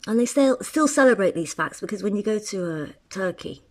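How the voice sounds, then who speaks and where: Husky-voiced woman rambling in a monologue. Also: mid-range voice, lively intonation, a little fast, a little mellow. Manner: rambling, with frequent restarts and asides. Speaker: writer. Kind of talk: casual personal monologue.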